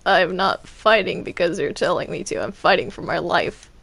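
A woman's voice: on the low side, moderate pace, vocal fry, noisy background. A woman playing a grumbling, reluctant captive in an improvised roleplay, whining and protesting. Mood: defensive. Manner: whiny, protesting. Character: grumbling, reluctant captive Bendy under another's control.

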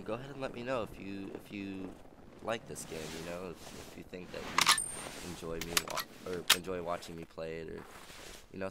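A young man with a deep, low voice talks through a game in a rambling, casual way, full of "um" and "like". He sounds a bit sick and raspy. The recording crackles with static from a worn-out old mic and has a noisy hiss behind it. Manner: rambling, filler-heavy, chatty. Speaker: gaming channel host. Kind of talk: casual let's play commentary. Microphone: old, static-prone headset mic.

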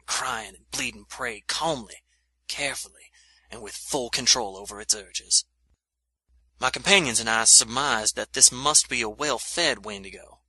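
An actor playing a shaken frontier soldier giving a grave, halting first-person account in an audio drama. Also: male voice, medium pitch, sharp tone, breathy edge, dry recording, rough recording. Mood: grave, intense. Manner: haunted, halting, grave delivery. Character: shaken frontier soldier recounting a monster attack.

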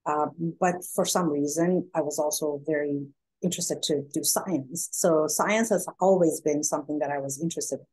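A woman's voice: low voice, moderate pace, darkish tone, husky, low-quality recording. A woman in her forties from Iran, a guest speaker explaining her business on a video call, earnest with many fillers. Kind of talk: presentation and interview answers. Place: home room.

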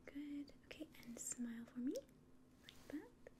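A woman in her twenties doing a whispered ASMR roleplay in a soft, breathy, gentle and reassuring voice, close to the mic. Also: sits high, unhurried, noisy background. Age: 20s. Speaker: ASMR performer playing an alien medical examiner. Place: small indoor room.

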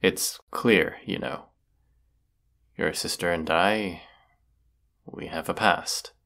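A man playing a proud, brooding, sardonic wizard of the woods in a scripted audio roleplay, speaking haughtily and deliberately. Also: low-pitched, vocal fry, sharp tone, heavily acted. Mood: regretful, guarded.

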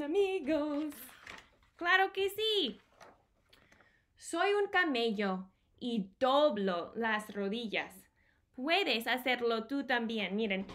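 A woman hosts a storytime for small children at home. Her voice sits fairly high and she speaks very slowly. She repeats simple phrases in an animated, teacherly way, recorded on a device mic in a small room. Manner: animated, simple repeated phrases, switches between Spanish and English. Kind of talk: singing and reading aloud to young children.

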